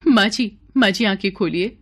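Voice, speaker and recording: A woman's voice: mid-range voice, moderate pace, a bit bright, close to an everyday voice. A woman voicing a humble, sorrowful bride in an audio story, politely and earnestly pleading.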